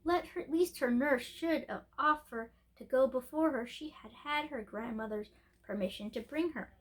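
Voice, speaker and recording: High-voiced woman reading a storybook aloud. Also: normal speed. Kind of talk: scripted narration, storybook read-aloud.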